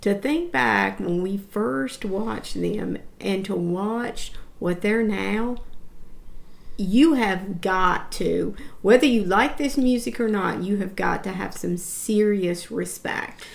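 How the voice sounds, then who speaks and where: A woman's voice: medium pitch, slow pace, creaky. A woman co-hosting a reaction channel, chatting casually and stressing her points firmly.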